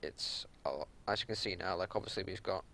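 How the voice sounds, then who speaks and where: Low, gravelly man explaining game strategy. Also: fast talker, pushed and bright, slight background noise. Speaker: raid leader giving a strategy walkthrough. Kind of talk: unscripted gameplay commentary.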